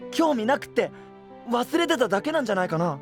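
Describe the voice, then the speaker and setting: A man's voice: extremely high pitch, very animated, fast, faint music behind, exaggerated voice. An actor playing a boyish, frank and upbeat young male knight with casual, direct speech in an anime-style voice drama. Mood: reassuring.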